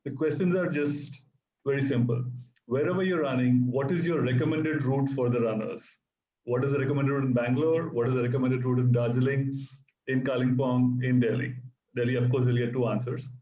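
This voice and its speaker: Mellow-voiced host in an echoey room. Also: male voice, medium pitch, fairly even intonation, brisk. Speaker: panel host. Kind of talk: moderating a panel on a video call. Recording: echoey room, muffled call audio.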